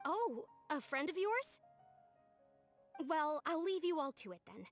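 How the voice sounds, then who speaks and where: A woman's voice: high-pitched, sing-song, unhurried, soft background music, exaggerated voice. An actress playing a friendly, earnest young village woman in a video game, polite and easygoing. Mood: friendly, casual.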